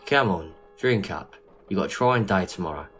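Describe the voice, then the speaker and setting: A man playing a loyal gentleman friend in a period audio drama, talking in a matey, colloquial way. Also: sits low, expressive, moderate pace, soft background music. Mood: companionable. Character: loyal, grieving gentleman friend, informal and companionable. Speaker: voice actor. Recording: noisy amateur audio drama recording.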